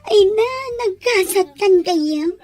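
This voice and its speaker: High-pitched conniving confidante, Ilocano. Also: female voice, takes it slowly, a little mellow. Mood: teasing. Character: gleeful, conniving young female confidante. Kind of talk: Ilocano radio drama acting.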